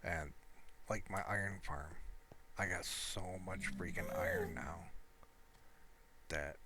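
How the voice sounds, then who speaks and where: A man's voice: sits low, huge pitch swings, slow, vocal fry. A man giving casual, rambling gameplay commentary, thinking aloud in an informal, hesitant way. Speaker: gamer recording gameplay commentary. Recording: loud noise with clicks behind voice.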